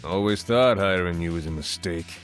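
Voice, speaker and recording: A gruff cowboy, acted by a man for a video game. His voice sits very low and sounds wary and distrustful. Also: normal speed, faint music behind, close to an everyday voice. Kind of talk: video game character voice acting.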